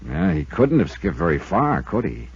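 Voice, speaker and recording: Male, American, a self-assured, probing radio sleuth; lively pitch movement, muffled old radio recording. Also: normal speed, vocal fry, husky, no echo, exaggerated voice. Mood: skeptical, firm. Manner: terse, probing, businesslike. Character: hard-boiled male insurance investigator, self-assured and probing. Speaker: radio detective and narrator. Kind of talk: old-time radio detective drama acting. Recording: dull vintage radio recording.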